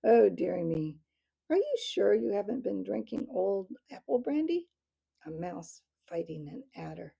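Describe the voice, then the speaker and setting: A woman's voice: medium pitch, sing-song, unhurried, gravelly, warm tone, slightly rough, heavily acted. A woman voicing a boastful male owl captain who mocks and cackles, in a storybook reading. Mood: laughing. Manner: mocking, laughs raucously.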